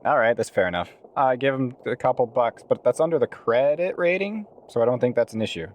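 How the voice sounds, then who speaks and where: A man's voice: mid-range voice, expressive, fairly quick, slight background noise. A man playing a tabletop role-playing game, casually describing what his character does.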